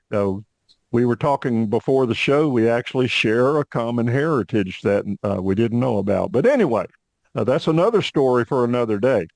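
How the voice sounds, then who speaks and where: A middle-aged man hosts a history podcast in a friendly, chatty way, dropping in frequent uhs between his thoughts. His voice sits at a medium pitch and is recorded dry and close. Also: dry recording. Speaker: podcast host. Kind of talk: podcast interview hosting.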